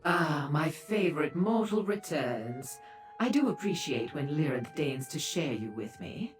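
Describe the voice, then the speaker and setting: An actress playing a charming, worldly madam in a video game, with an arch, flirtatious and languid manner. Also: female voice, deep voice, very animated, measured pace, soft background music. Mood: amused, teasing. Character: charming, teasing madam, worldly portal expert.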